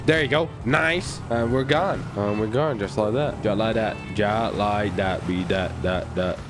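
A man giving excited, boisterous gameplay commentary as a video host, with frequent shouts and swearing. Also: fairly low pitch, measured pace, over music.

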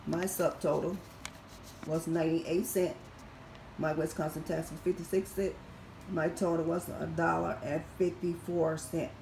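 Raspy-voiced woman in her fifties. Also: deep voice, a little mellow. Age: 50s. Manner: methodical, reads prices off receipts. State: recovering from surgery. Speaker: couponing vlogger. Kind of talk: casual product haul walkthrough. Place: indoor room at home. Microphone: phone camera held over items. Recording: echoey room with faint background noise.

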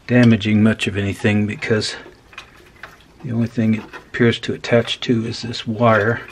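A man casually talking through a hands-on repair as host, unhurried and dryly humorous. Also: fairly low pitch, flat delivery. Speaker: host of an electronics repair video. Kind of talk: casual hands-on demonstration commentary. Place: indoor workbench. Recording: clear background noise.

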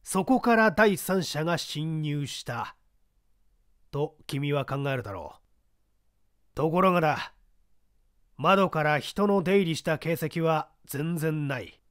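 A man's voice: a little high, lively intonation, a bit bright, no room sound, clean studio sound. Male reader as seasoned older investigator, explains and tests the young man, storybook mystery. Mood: explaining. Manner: measured, testing, explanatory.

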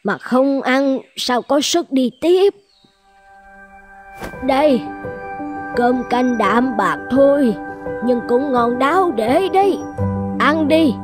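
A woman voicing a kindly, humble old village fan seller in a folk-tale cartoon, gentle and plaintive. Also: high-pitched, animated, takes it slowly, long pauses. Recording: music behind the voice.

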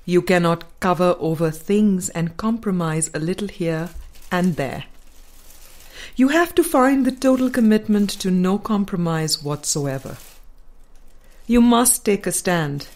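A woman reading a spiritual book aloud in a measured, deliberate and formal way. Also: on the low side, expressive, a little mellow. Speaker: audiobook reader.

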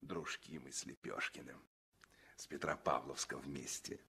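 A man's voice: extremely low pitch, fairly even intonation, unhurried, gravelly, bright, forceful tone, husky, heavily acted. A man playing a hearty, folksy sailor in a 1960s Soviet radio play, musing and emphatic. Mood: confiding. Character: hearty, confiding Baltic sailor, devoted to revolution.

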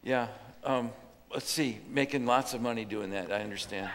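A man's voice: medium pitch, normal speed, a bit bright. An older man hosting a casual stage interview as pastor, chatty and teasing his guests. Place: church stage before an audience. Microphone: handheld mic. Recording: church hall reverb with audience.

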